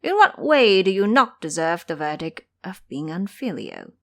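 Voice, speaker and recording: A woman's voice: fairly low pitch, big pitch swings, moderate pace, creaky, a bit bright, full character voice. A woman voicing a cocky, teasing male monkey king in an audio drama, boastful, preachy and theatrical in manner.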